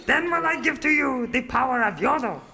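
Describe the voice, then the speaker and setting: Tiny creaky wise old-west guru critter, super high, testy and pompous, radio spoof. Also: male voice, animated, moderate pace, bright, forceful tone, exaggerated voice. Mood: solemn, quizzing. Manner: inverted, pompous sage phrasing. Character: tiny fuzzy-browed wise cowboy mentor creature, testy. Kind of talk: old-time radio serial parody acting.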